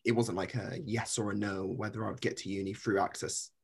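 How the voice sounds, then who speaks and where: A young man from Cornwall, a PhD student guest, talking casually on a podcast with many pauses and fillers. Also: fairly low pitch, flat delivery, gravelly, breathy edge. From Cornwall, England. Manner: hesitant, many fillers, laughs lightly.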